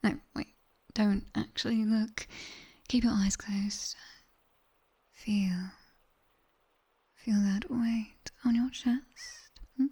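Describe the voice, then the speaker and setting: A British woman playing a sharp-tongued demoness tutor in a slow, teasing, breathy ASMR whisper, close on the microphone. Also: medium pitch, fairly even intonation, heavily acted. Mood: sly, ominous. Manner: slow, deliberate, teasing, dryly stern. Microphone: close binaural microphone.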